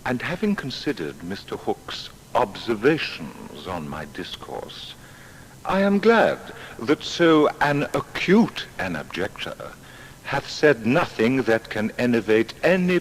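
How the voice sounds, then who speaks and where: An actor playing a proud, exacting seventeenth-century English natural philosopher, reading his papers in formal, deliberate diction. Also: medium pitch. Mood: indignant, haughty. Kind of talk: dramatised reading of period letters and papers. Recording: long hall reverb, faint background noise.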